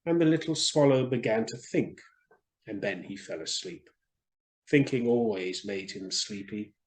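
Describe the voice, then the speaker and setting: Theatrical male storyteller, very animated pitch. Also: measured pace. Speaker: storyteller for children. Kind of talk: expressive story reading for children.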